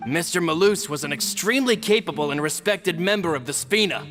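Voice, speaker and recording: An actor playing an earnest, deferential attendant, speaking formally and eagerly in a video game. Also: male voice, fairly high pitch, brisk, fairly bright tone, lightly acted. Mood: eager respect. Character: deferential, earnest male attendant to his boss. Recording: long hall reverb, music behind.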